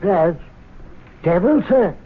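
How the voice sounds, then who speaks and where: An actor playing an unflappable, deferential club servant in a radio drama, polite and unhurried. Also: male voice, sits high, lively intonation, breathy edge, exaggerated voice. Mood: calm, deferential. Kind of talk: old-time radio drama acting. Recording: noisy narrow-band vintage radio broadcast.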